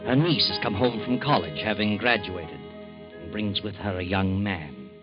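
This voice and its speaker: Grave male radio narrator. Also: low-pitched. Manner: grave, measured. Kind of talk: scripted radio drama narration. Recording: dull old radio recording with faint music.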